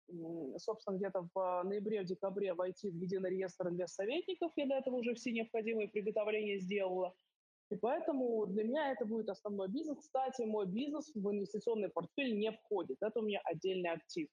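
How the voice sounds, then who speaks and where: Husky middle-aged woman, methodical. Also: mid-range voice, mellow. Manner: methodical, structured into numbered points with frequent "э" and "м" fillers. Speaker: independent financial advisor. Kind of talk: explaining her investing approach in a live audio chat. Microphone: voice chat over phone or computer. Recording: dull lo-fi voice chat audio.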